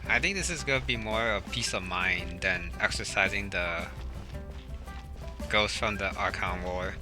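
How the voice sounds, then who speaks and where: A man's voice: fairly low pitch, a little slow. A man giving casual, offhand commentary while playing a video game. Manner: offhand, musing, with fillers. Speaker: gaming commentator. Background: game music.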